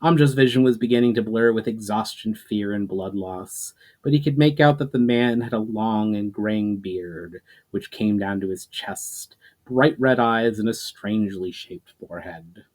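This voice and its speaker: A man's voice: lowish voice, measured pace, few pauses, darkish tone. A man reading an adventure story aloud in a dramatic narration style, starting phrases emphatically and letting them trail off.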